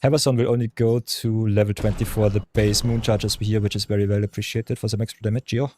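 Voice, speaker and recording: A man with a deep voice streaming a game, chatting casually with his viewers and musing over his choices as he plays. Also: flat delivery, fast talker, a little mellow, a little hiss or hum. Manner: chatty, talks to chat viewers, hums and mutters while thinking. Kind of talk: casual live-stream chat over gameplay.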